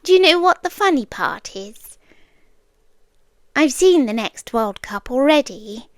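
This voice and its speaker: A British woman playing an otherworldly, possessive stalker girlfriend in an ASMR roleplay, soft and breathy, often whispering, intimate and eerily tender. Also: high voice, sing-song, no room sound, studio quality. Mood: wistful, intimate. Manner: slow, deliberate, intimate, teasing.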